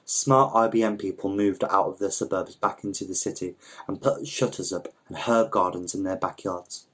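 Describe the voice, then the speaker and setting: Low-voiced man reading a story aloud. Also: moderate pace. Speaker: volunteer story reader. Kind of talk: scripted literary narration, reading aloud.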